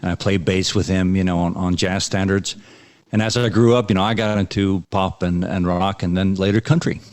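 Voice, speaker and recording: A low-voiced man from Iceland answers a host's questions. He talks in a relaxed, unhurried way, stalls on small words and repeats himself as he gathers his thoughts. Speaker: country singer guest. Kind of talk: interview answers.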